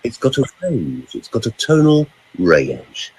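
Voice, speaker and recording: Husky vocal coach with swooping pitch. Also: male voice, unhurried, low-quality recording. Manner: animated, swoops between high and low pitch, demonstrates sounds. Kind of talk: demonstrating voice exercises on a podcast.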